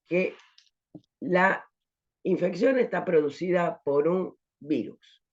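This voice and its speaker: A woman's voice: on the low side, darkish tone. Woman teaching class, lo-fi audio. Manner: explanatory, rhetorical questions, frequent "¿eh?" tags. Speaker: pharmacology teacher. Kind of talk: online class lecture.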